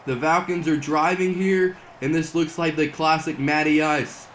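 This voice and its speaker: Young guy doing hype play-by-play on a football game, kinda high voice going hoarse, little bit of hiss. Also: normal speed. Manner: excitable play-by-play, rising to near-shouts on big plays. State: losing his voice. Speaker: gaming channel host doing play-by-play. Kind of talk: mock broadcast sports commentary over gameplay.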